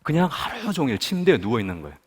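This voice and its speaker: Male speaker giving a testimony, lively pitch, long hall echo. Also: quick pace, slightly rough. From Busan, South Korea. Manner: storytelling, asks the audience questions. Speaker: guest speaker at a prayer meeting. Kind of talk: personal testimony talk.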